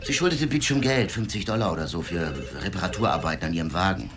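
Very deep-voiced, sober newspaper editor. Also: male voice, lively intonation, fast. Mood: factual. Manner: factual, clipped briefing. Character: matter-of-fact newspaper editor, sober man at work. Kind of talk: radio play dialogue. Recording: clear ambient noise behind the voice.

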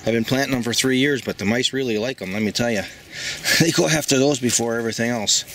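A man's voice: mid-range voice, fast, a bit bright. A man giving a casual walkthrough of his backyard garden, talking in a rambling, folksy way as he thinks aloud. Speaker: home gardener. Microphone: handheld phone camera. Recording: faint outdoor background noise.

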